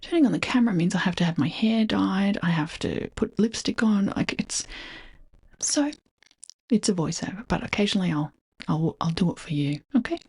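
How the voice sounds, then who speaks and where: A woman with an Australian accent, speaking softly and often whispering as she reads an old book aloud and muses over what she finds, recorded as a voice-over on a close microphone. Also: medium pitch, lively intonation, normal speed, husky. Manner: soft-spoken, often whispering, musing aloud. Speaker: channel host reading an old book. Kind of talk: soft-spoken rambling read-through. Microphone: close voice-over mic.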